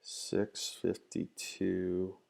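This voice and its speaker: Congested man teaching in a low voice. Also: monotone, drawn-out words, creaky, darkish tone. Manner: conversational, thinks aloud, frequent "okay?" and "you know". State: congested, nasal. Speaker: trading educator, live stream host. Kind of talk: casual live-stream teaching.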